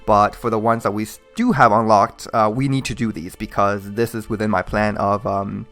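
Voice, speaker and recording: Man, Chinese accent, Mandarin native, gravelly fry, rambling gameplay commentary. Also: fairly low pitch, steady tone, normal speed, a bit bright, soft background music. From mainland China. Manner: rambling, hesitant, many fillers. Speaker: gaming channel host.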